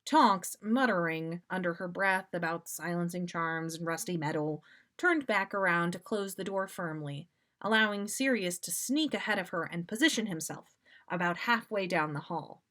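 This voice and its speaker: A woman hosting a story podcast, reading fan fiction aloud in an expressive, animated way with dramatic pauses and casual asides. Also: on the low side. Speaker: podcast host reading listener stories.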